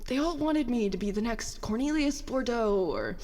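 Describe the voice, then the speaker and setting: A woman playing a stressed, guarded young petty officer in a naturalistic audio drama, halting and rambling with self-deprecating words. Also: fairly high pitch, expressive, darkish tone, big-room sound, close to an everyday voice. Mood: wounded, confiding. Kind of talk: audio drama acting, naturalistic confessional dialogue.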